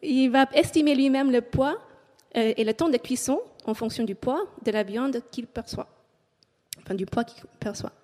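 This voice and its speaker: A woman's voice: high voice, moderate pace, darkish tone. A woman from English-speaking Canada speaking French with an English Canadian accent, giving a public talk and hesitating often with filler sounds. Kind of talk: public talk reading from notes. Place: auditorium before an audience. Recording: long hall reverb.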